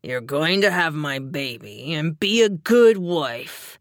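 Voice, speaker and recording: A woman narrator plays a menacing young husband, drunk and controlling. The voice is pushed low and drags slowly through slurred words. It is audiobook character dialogue, recorded very dry. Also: expressive, no room sound. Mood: snarling, possessive.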